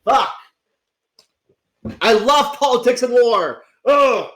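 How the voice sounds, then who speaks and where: Sick, raspy man rambling on stream. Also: extremely high pitch, slow. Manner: rambling, swearing, excitable, many restarts. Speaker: livestream host. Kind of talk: casual livestream chat. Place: at home.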